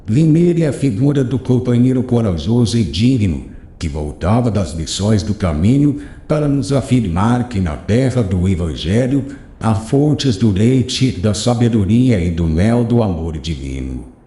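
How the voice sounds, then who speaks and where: A man voicing a wise, gentle old rabbi teacher who consoles his pupil in a slow, solemn preaching cadence. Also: lowish voice, animated, pitched below the performer's own voice. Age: older. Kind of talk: audiobook character reading. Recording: roomy echo.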